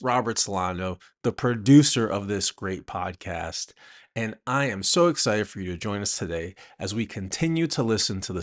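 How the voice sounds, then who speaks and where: A man hosting a podcast, introducing the show and speaking directly to listeners. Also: lowish voice. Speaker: podcast host and producer.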